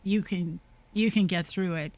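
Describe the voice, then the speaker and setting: A woman's voice: fairly low pitch, unhurried, a little mellow, no room sound. Earnest, reflective woman. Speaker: podcast host. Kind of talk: casual conversation.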